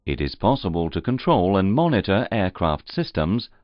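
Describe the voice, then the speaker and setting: A man reading scripted instructional narration in a formal, measured, carefully enunciated way. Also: low voice, sing-song, no echo. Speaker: training narrator. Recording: slightly muffled, dull audio.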